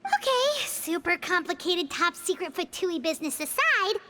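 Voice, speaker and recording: A woman voicing a small, childlike fairy companion in a video game, squeaky, curious and excitable, speaking bluntly. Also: normal speed, slight background noise, exaggerated voice. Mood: startled, demanding. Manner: excitable, blunt, refers to self in third person.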